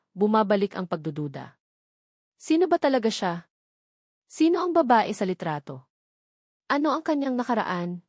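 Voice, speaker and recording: A woman narrates a scripted first-person love story. Her pitch sits in the middle and her delivery is measured and earnest. The sound is dry, with hardly any room in it. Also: darkish tone, no echo. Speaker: narrator of a romance audio drama.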